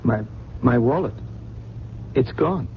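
A lost, well-mannered gentleman in an old radio play. He speaks in a mid-pitched voice, hesitant and sincere, with refined wording. The recording is muffled with a light hiss. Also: expressive, slow pace. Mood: confused, hesitant. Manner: hesitant, earnest, well-spoken. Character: lost, bewildered, polite well-bred amnesiac gentleman. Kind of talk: 1970s radio drama acting.

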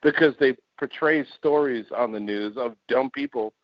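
A man's voice: medium pitch, normal speed, no echo. A man in his forties from the United States, co-hosting a podcast and talking bluntly with plenty of swearing. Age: 40s. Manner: blunt, swears freely, opinionated. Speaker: podcast co-host. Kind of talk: casual chat. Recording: muffled lo-fi sound.

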